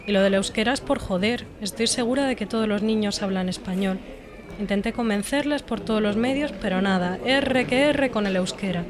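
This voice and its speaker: A woman's voice: medium pitch, a little fast, a crowd in the background, natural voice. A young woman from Spain playing a frustrated, sarcastic unemployed psychologist in a scripted scene. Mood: scornful. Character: frustrated young female job-seeking psychologist, blunt. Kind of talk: scripted dialogue.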